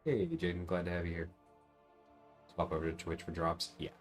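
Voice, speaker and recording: Very deep-voiced man streaming casually. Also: monotone, normal speed, faint music behind. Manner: rambling, thinking aloud, chatting to viewers. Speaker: livestream host. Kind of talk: casual gaming livestream commentary.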